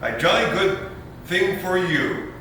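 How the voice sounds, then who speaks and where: Brash young nephew in stage comedy played by a man, teasing, higher-pitched, distant roomy sound. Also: sing-song, slow pace, sharp tone, heavily acted. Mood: mock outrage. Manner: emphatic, teasing. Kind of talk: stage readers' theater acting. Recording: roomy, distant mic, faint rumble.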